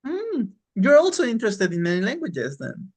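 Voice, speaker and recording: A man's voice: very high voice, wildly swooping pitch, normal speed, breathy edge. Male language teacher for an online class, encouraging, informal, repeats words to drive points home. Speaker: English teacher. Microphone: online class call.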